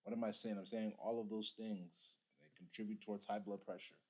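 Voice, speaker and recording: Low-voiced man lecturing his viewers. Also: level tone, brisk, darkish tone. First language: Igbo. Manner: preachy, addresses viewers directly. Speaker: reaction streamer. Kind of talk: casual reaction commentary.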